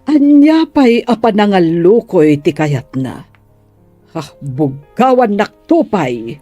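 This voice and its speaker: A man's voice: sits high, sing-song, takes it slowly, warm tone, dry recording, faint music behind, exaggerated voice. An actor playing a resigned, deferential man with an Ilocano accent in a radio drama. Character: resigned, deferential man wary of being scolded.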